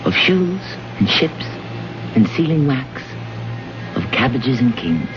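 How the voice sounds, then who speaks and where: A man hosting a vintage radio drama with theatrical scripted narration, literary, deliberate and wry. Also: mid-range voice, very animated, over music. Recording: noisy, dull old radio broadcast recording.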